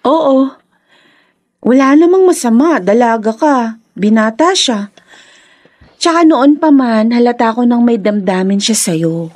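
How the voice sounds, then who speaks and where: A woman's voice: on the high side, expressive, slow pace, long pauses, a little mellow. An actress playing a supportive, teasing young woman, a playful best friend in a radio drama. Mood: encouraging. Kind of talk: Filipino radio drama acting.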